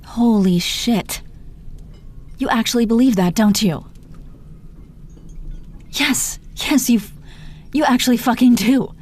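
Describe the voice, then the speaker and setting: A woman's voice: a little high, slow, long pauses, a little mellow. A woman playing a teasing, protective female supervillain in her thirties in an intimate roleplay audio drama, foul-mouthed but coaxing, full of pet names. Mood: incredulous, softening. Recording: noticeable background noise.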